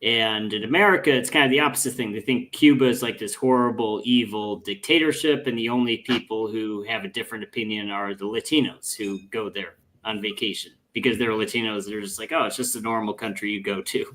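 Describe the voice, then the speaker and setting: A man's voice: fairly low pitch, fairly even intonation, brisk, few pauses, fairly bright tone, poor audio. A man in casual political discussion, rambling with many restarts and dropping his voice when quoting people. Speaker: podcast guest.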